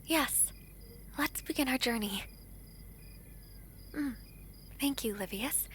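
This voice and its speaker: A woman playing a gentle, affectionate princess in a romantic fantasy audio drama, with formal, tender, courtly phrasing. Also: high-pitched, takes it slowly, slight background noise. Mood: delighted, grateful. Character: gentle, affectionate foreign princess, gracious and wistful.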